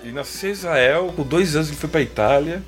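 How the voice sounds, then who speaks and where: A man's voice: fairly high pitch, fast, faint music behind. A man with a Brazilian Portuguese accent, a musician being interviewed, talking loosely and tagging his sentences with fillers. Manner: rambling, full of filler tags. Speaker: guest musician. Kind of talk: casual interview chat.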